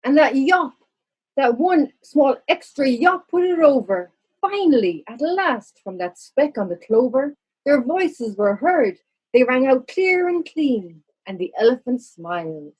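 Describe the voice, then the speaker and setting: Female children's story narrator, fairly high and husky, expressive, slightly muffled recording. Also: measured pace.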